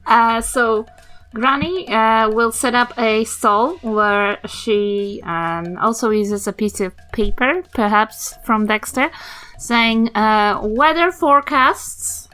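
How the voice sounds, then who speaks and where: A woman player casually improvising in a tabletop role-playing game, halting as she thinks aloud. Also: fairly high pitch, bright, forceful tone, soft background music, rough recording.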